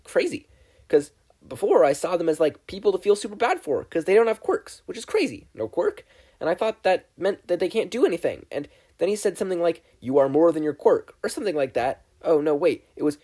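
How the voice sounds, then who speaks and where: A man voicing a bubbly, excitable teenage schoolgirl in a story reading, chatty and slangy. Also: on the high side, breathy edge, voice pushed up.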